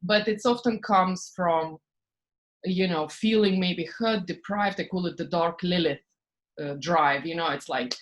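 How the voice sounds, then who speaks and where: Guest: woman from Estonia, slightly low voice, animated and conversational. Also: unhurried. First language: Russian. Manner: animated, acts out her own inner voice in quoted asides, frequent "like" and soft laughs. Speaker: podcast guest, spiritual business coach. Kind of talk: casual interview talk.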